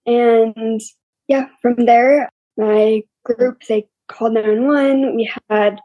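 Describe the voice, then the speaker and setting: A young woman with a scratchy, quiet voice, openly telling her story as an interview guest in a plain, matter-of-fact way. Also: fairly high pitch, fairly even intonation, slow pace, no echo, muffled recording. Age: young adult. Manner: open and matter-of-fact, listing hardships plainly. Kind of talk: candid personal storytelling.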